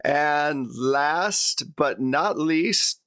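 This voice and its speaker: A man's voice: on the high side, drawn-out words, a bit bright. A man working as game master, recapping and narrating a tabletop game in an informal, conversational way.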